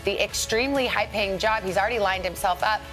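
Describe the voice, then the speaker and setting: A woman's voice: medium pitch, fairly quick, over music, poor audio. A woman anchoring live TV news, chatting with colleagues and introducing stories. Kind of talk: live broadcast banter and teases.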